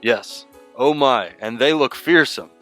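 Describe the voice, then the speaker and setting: A man playing an eager time-agency official in an audio drama, explaining things in a blithe, matter-of-fact way, even horrors. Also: medium pitch, unhurried, soft background music, natural voice. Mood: cheerfully menacing.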